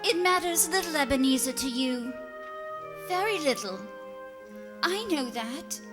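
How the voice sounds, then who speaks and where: A woman plays a wounded young fiancée in a radio drama, high-voiced, earnest and resolute. Music plays clearly beneath the words, which carry a long reverb. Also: animated, unhurried. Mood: reproachful. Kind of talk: radio play acting.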